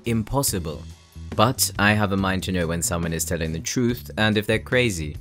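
A man playing a courteous, dry-witted elder brother, an ex-soldier turned barkeeper, in a fantasy audio drama, with measured, polite, wry delivery. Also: deep voice, a bit bright. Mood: earnest. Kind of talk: anime-style fantasy audio roleplay acting. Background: background music.

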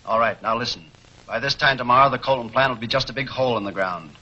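Sharp-voiced older crime boss. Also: male voice, mid-range voice, quick pace. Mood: cold, businesslike. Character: cold, scheming older male crime syndicate boss. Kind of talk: 1940s film serial acting. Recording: dull, hissy 1940s film soundtrack.

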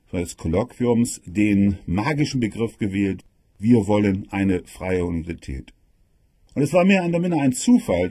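A man with a husky voice narrating history like a lecturer, in an emphatic storytelling manner with rhetorical questions and dramatic pauses. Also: fairly low pitch, dark tone, no room sound, studio quality. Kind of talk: spoken history lecture for an audio production.

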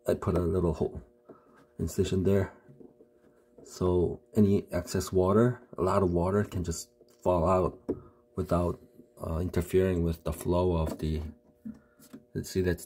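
A man with a low, husky voice talks through a furnace repair in a basement, stopping and restarting as he points things out. His tone is dark and mellow, picked up close on a phone camera. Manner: halting, many fillers and restarts, explains while pointing. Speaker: homeowner showing a furnace repair. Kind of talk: casual how-to video. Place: indoor basement utility room. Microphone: phone camera held close.

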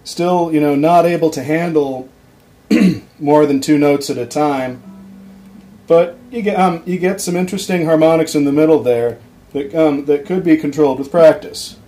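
Relaxed man explaining a workbench circuit. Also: a little high, moderate pace, faint music behind, rough recording. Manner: relaxed, with frequent ums and restarts. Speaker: electronics hobbyist demonstrating a circuit. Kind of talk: casual off-the-cuff tutorial. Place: workbench at home, indoors.

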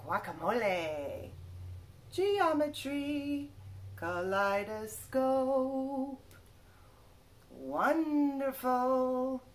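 A woman's voice: high voice, very animated. A woman in her thirties reading a children's picture book aloud like a teacher, stressing words carefully one by one. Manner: careful, drawn-out word-by-word emphasis. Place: small living room, indoors.

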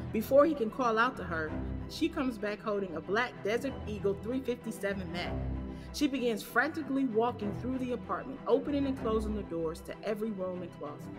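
Reverberant woman narrator over music. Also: medium pitch. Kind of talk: scripted audio drama narration. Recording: long reverb, music behind.

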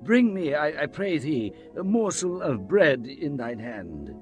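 An actor playing a solemn, fervent Hebrew prophet in a dramatized Bible, declaiming in archaic scriptural phrasing. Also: male voice, on the high side, sing-song, measured pace, faint music behind. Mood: calling out.

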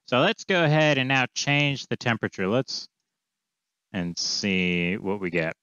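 A man in his thirties with a fairly low, clean and smooth voice walks through a tutorial casually, thinking aloud. The sound is dry and close but slightly dull and lo-fi. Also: animated, a little slow, pushed and bright, no echo. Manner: informal, offhand, thinking aloud. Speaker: coding tutorial presenter. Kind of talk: casual screencast walkthrough, explaining as he goes. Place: small indoor room, screen recording with webcam.

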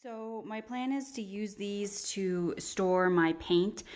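A woman's voice: mid-range voice, slow pace. Chatty woman vlogging in an echoey room. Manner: chatty, relaxed, frequent ums. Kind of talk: casual home cleaning vlog. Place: small bedroom studio, indoors. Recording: roomy echo, faint background noise.

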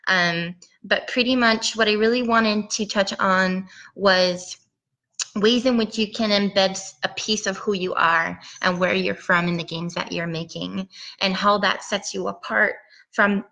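A woman's voice: mid-range voice, steady tone, unhurried, vocal fry, sharp tone, poor audio. A woman in her thirties from Puerto Rico, giving a chatty talk as a game designer over a headset mic from a room at home. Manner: chatty, rambling with ums. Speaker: guest speaker, game designer. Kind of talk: casual presentation talk. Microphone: headset mic on video call.

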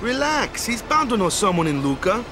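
A man's voice: sits high, big pitch swings, normal speed. An actor playing a laid-back, defensive but good-hearted young male athlete in a video game, talking colloquially with tag words. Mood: defensive, casual. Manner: colloquial, tags sentences with "eh", "ya" or "huh". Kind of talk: video game cutscene acting. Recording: music behind the voice.

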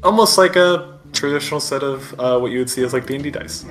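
A man's voice: medium pitch, fast, faint music behind. A game master, a man, narrating and explaining a tabletop roleplaying game.

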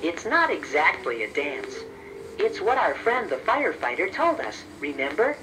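A man's voice: fairly high pitch, wildly swooping pitch, normal speed, far from the mic, over music, poor audio. A man voicing a friendly, patient teddy bear, explaining things reassuringly in a children's educational story. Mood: patient, cheerful. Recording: children's cassette tape.

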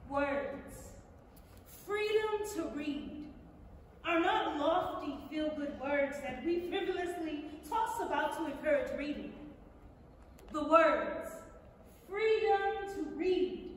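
A woman playing an earnest, resolute school librarian in an emphatic, deliberate stage monologue. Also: high voice. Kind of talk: theatrical monologue, staged reading. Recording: echoey theatre hall, distant, faint noise.

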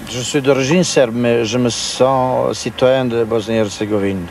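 A gravelly, fifties-aged male commander speaks haltingly in a language that is not his own. He was recorded outdoors in a town on distant field audio, with clear background noise behind him. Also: medium pitch, fairly even intonation, a little mellow. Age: 50s. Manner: halting, searching for words. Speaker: army commander interviewed.

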